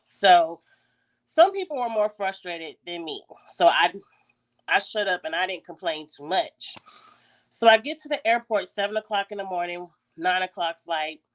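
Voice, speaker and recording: Woman, raspy congested voice, clears her throat often, chatty storytelling, phone recording. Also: lowish voice, expressive, measured pace. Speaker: YouTube host. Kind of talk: casual story time.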